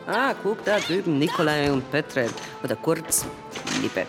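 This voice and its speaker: A woman playing a morbid circus crocodile tamer in a radio play, telling things dramatically with dark deadpan asides. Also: low-pitched, unhurried, over music, exaggerated voice. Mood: dramatic, mysterious. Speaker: actress.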